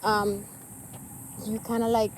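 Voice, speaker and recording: A woman's voice: mid-range voice, little pitch movement, slow, slight background noise. A young woman from Cuba, talking candidly to camera about her life, rambling with many fillers. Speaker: vlogger. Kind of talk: personal talk to camera.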